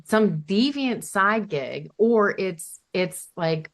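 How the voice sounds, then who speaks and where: A woman's voice: medium pitch, expressive, takes it slowly. A woman therapist speaking as a podcast guest, earnest and enthusiastic, often restarting her phrases. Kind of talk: conversational interview.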